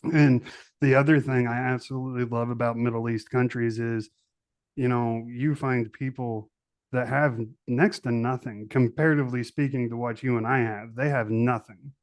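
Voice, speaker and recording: A man's voice: medium pitch, fairly even intonation, normal speed, no echo. A middle-aged man hosting a podcast in casual interview chat, talking enthusiastically and repeating words for emphasis. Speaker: podcast host.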